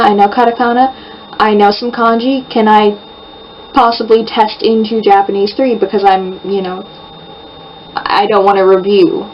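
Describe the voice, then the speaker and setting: A young woman from the United States talks casually and rambles, with plenty of fillers and restarts. She sounds a bit distant from the microphone, and the recording is slightly muffled. A faint hiss runs underneath her voice. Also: medium pitch. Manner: chatty, rambling, lots of fillers. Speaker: vlogger. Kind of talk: casual chat to camera.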